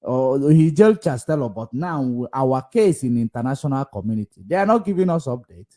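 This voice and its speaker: A man hosting a political livestream, combative and repetitive, swinging between loud outbursts and low muttering. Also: expressive, brisk. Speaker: livestream host. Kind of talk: casual political commentary livestream.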